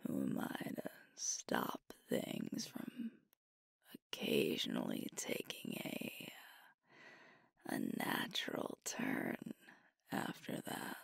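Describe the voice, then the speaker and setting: An affectionate, teasing werewolf girlfriend, voiced by a woman in an intimate ASMR roleplay. Her soft voice is very low and full of creak, recorded close and dry. She lingers tenderly over her words with playful pauses. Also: huge pitch swings, no room sound, full character voice. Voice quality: soft, breathy, whispery. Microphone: close microphone.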